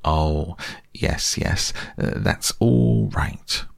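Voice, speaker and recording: A man plays a scheming storybook rabbit who likes to give orders. The voice is gravelly and fairly low, with a bossy, brisk manner. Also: no echo, clean studio sound, exaggerated voice. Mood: brisk, impatient. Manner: brisk, instructing.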